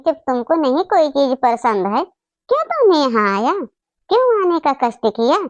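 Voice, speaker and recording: A woman's voice: high voice, sing-song, normal speed, no room sound, highs cut off. A woman voicing a doting but bossy middle-aged mother and mother-in-law in a family comedy cartoon, fussing and giving orders. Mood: scolding. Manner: fussing, commanding.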